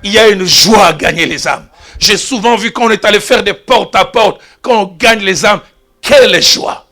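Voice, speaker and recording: A man preaching a sermon as pastor, telling stories and shifting from quiet asides to loud exclamations. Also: sits high, moderate pace, soft background music. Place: church.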